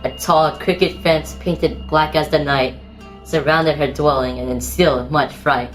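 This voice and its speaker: A woman's voice: low-pitched, fairly even intonation, unhurried, faint music behind, rough recording. A woman narrator reading rhyming, scripted storybook narration in a theatrical storytelling manner.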